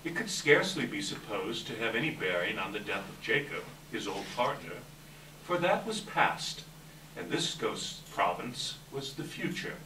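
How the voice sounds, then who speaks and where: A man narrates a story with a lively, swooping delivery and a bright, forceful tone. He is reading at a staged event, picked up from a distance in an echoey room. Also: on the low side, normal speed. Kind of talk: scripted story narration at readers theater. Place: indoor live staged reading. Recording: echoey room, distant mic, faint noise.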